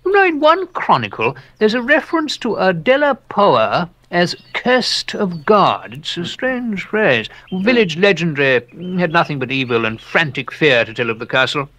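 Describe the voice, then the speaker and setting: Captain, excitable scholar, theatrical horror storytelling, highly animated pitch, halting, relishes the macabre. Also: male voice. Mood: erudite, intrigued. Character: excitable, erudite English captain relating dark local legends. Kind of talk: audio drama dialogue, storytelling.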